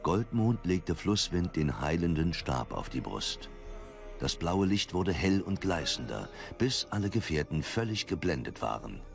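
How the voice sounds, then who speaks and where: A man's voice: low-pitched, sing-song, a little slow, vocal fry, exaggerated voice. A man narrating a fantasy audio drama in a dramatic scripted style. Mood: solemn. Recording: loud music behind the voice.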